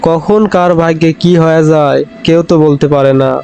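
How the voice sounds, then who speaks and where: A man's voice: fairly high pitch, fairly even intonation, fairly quick, mellow, slight background noise, lightly acted. A man voicing a humble, philosophical, resigned young village man in a cartoon, in a rural Bangladeshi Bengali dialect. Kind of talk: Bangla comedy cartoon dubbing.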